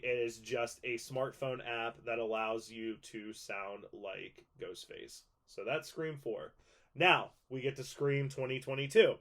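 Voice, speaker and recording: A man presenting a collector's guide in an informal, conversational and rambling way, showing items as he talks. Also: medium pitch. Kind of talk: casual product show-and-tell.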